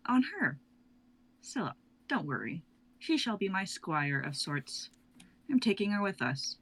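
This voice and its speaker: A woman's voice: fairly low pitch, sing-song, a little slow, slightly rough, heavily acted. A woman voicing Bia, a haughty, scheming mentor who speaks with command.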